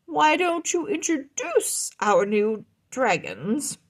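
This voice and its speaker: A woman's voice: high-pitched, sing-song, slow, breathy edge, pitched above the performer's own voice, exaggerated voice. A woman voicing a proud, pompous king in a children's storybook, speaking emphatically. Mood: self-satisfied. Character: proud, blustering male king. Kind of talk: children's storybook read-aloud.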